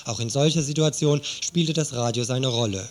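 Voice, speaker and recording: Man, radio feature narration, earnest, critical, medium pitch. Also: moderate pace, background noise. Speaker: radio news reporter.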